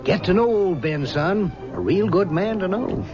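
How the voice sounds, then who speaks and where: A man's voice: high voice, expressive, normal speed. An actor playing a worldly, scheming middle-aged father who talks in a jovial, chuckling and sly way in a radio drama. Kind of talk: 1970s radio drama acting. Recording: dull 1970s radio recording with music.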